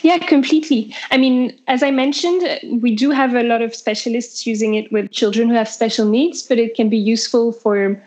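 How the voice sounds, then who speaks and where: A woman's voice: a little high, normal speed, hardly any pauses, darkish tone. A woman with a French accent, a company representative answering interview questions in an explanatory way, listing points with fillers. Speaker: product representative.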